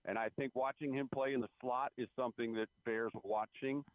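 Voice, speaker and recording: A man giving measured, explanatory sports analysis as a beat writer guest, over a tinny phone line. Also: medium pitch, steady tone, no room sound. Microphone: phone call.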